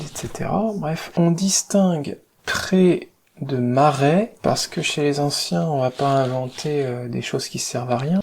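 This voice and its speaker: A man's voice: medium pitch, creaky, darkish tone, a little hiss or hum. A man from Paris with a Parisian French accent, explaining things informally in a conversational, digressive way and stressing key words. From Paris, France. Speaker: video host explaining etymology.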